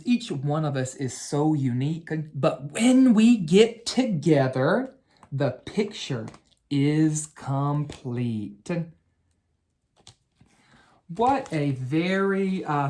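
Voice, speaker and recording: Man reading a bedtime story to children. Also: on the high side. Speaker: educator reading aloud to children. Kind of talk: bedtime storybook read-aloud and talk to children.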